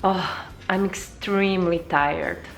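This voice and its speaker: A woman's voice: fairly low pitch, slow, creaky, a bit bright, faint music behind, exaggerated voice. A woman doing a tired young man traveler. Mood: weary.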